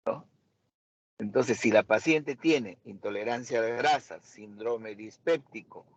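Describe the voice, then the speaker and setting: A male doctor leads an interactive seminar, firing questions at his students. His voice is gravelly and a little husky. The sound is dry and slightly dull, as over an online call. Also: fairly low pitch, dry recording. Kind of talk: interactive medical lecture.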